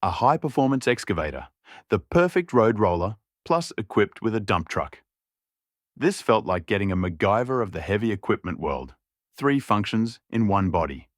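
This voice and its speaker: A man's voice: lowish voice, animated, moderate pace, no echo, studio quality. A man reading a scripted story as an audiobook narrator.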